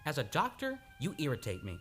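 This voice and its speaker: A man playing a troubled, guilt-ridden amnesiac in an old-time radio play, halting and impassioned, by turns romantic and petulant. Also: on the high side, huge pitch swings, a bit bright, faint music behind. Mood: petulant, teasing. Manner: halting, impassioned, swinging between teasing and anguish.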